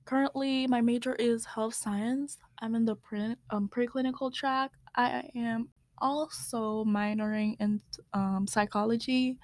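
A woman in her twenties from Haiti, co-hosting a podcast in a soft-spoken, easygoing chat. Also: on the high side, fairly even intonation, unhurried, no echo. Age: 20s. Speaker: podcast co-host. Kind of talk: casual chat.

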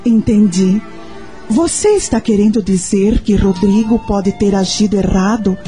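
A woman's voice: medium pitch, a little slow, warm tone, soft background music, lightly acted. An actress with a Brazilian accent playing a devout, earnest mother searching for answers in a radio soap opera. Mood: questioning. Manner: earnest, questioning. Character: devout, troubled young mother seeking answers.

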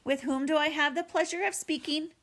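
A courteous storybook robot, voiced by a woman reader. She speaks formally and earnestly, with a friendly nature. Her voice sits a little high. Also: steady tone, a little fast, fairly bright tone. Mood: friendly, polite.

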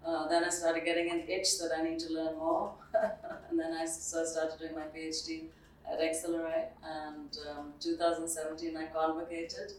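Low, flat-voiced British-accented woman. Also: a little hiss or hum. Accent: British English. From born in Zambia, schooled in the UK. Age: middle-aged. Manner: measured, composed, slightly formal. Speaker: guest, dean and professor. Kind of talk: interview conversation. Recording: roomy, distant mic with faint ambience.

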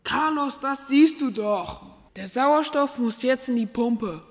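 A woman's voice: sits high, lively intonation, takes it slowly, exaggerated voice. A young schoolgirl playing a bossy male professor and inventor in a school radio play. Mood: commanding. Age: child or preteen. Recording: music behind the voice.

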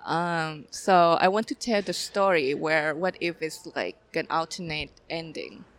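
Thai woman in her 20s, talks in halting bits w lots of ums, laughs easy. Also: low-pitched, steady tone, poor audio. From Thailand. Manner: halting, many fillers, laughs often. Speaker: film director guest. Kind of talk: casual interview.

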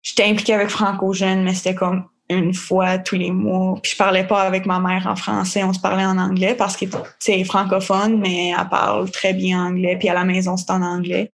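Gravelly young woman, casual and rambling. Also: mid-range voice, little pitch movement, normal speed, few pauses. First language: French. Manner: rambling, filler-heavy. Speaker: interviewee. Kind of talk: casual testimony.

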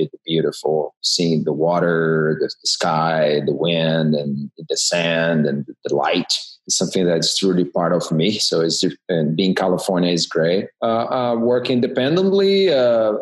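A man's voice: low voice, low-quality recording. A middle-aged man, a guest talking in a casual interview, often repeating words and restarting. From Brazil. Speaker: guest designer.

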